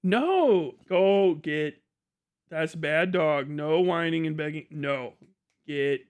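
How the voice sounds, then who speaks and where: Casting: male livestream host, slightly high pitch, loose sweary chatter, very dry recording. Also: slow, clear, resonant tone, dry recording. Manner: profane, rambling. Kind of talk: casual livestream chat.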